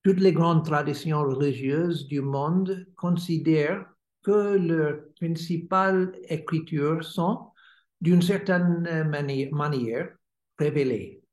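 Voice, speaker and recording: An American man, a native English speaker, reads a spiritual lecture in careful, hesitant French with many pauses. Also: on the high side, darkish tone. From United States. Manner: halting, many hesitations while reading. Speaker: swami giving a lecture. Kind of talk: read-aloud spiritual lecture. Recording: roomy echo.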